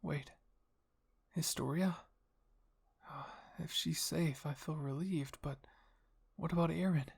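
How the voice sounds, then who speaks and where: An anxious young captive, voiced by a man thinks aloud in an audio story. The voice is creaky and husky, full of confused, worried questions. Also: on the high side, fairly even intonation, slow pace, long pauses, full character voice.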